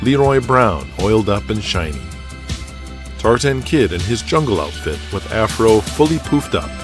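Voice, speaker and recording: A man's voice: fairly low pitch, sing-song, moderate pace. A man narrating a scripted audio drama as its storyteller. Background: music.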